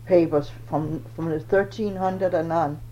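A woman's voice: deep voice, fairly even intonation, unhurried, warm tone. An older woman from Denmark, recalling her family in an oral history interview and searching for words as she goes. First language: Danish. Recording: dull old tape with faint noise.

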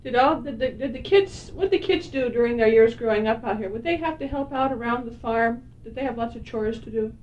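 A woman interviewing an older man for an oral history, asking short follow-up questions and murmuring quiet acknowledgements. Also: a little fast, few pauses, muffled recording. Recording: distant from the mic with faint rumble.

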